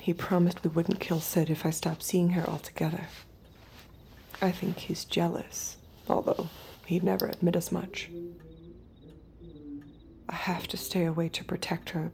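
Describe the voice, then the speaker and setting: A haunted, reluctant killer of an artificial woman narrates her inner life in an audio drama. Her low voice is gravelly and soft-edged, quiet and breathy, resigned and close. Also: little pitch movement, unhurried, a little hiss or hum. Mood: wistful, brooding. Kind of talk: dramatic first-person audio fiction narration.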